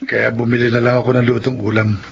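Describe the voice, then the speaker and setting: A man's voice: mid-range voice, level tone, quick pace, gravelly, dull audio, background noise, natural voice. An actor playing a worried father who speaks steadily and reassures his wife in a radio drama. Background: music.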